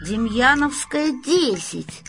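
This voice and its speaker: Officious building manager man acted by a woman, brisk, patronising, lively intonation, storybook character, faint music. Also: high-pitched, full character voice. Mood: businesslike. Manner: patronising, matter-of-fact. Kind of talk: dramatised storybook reading.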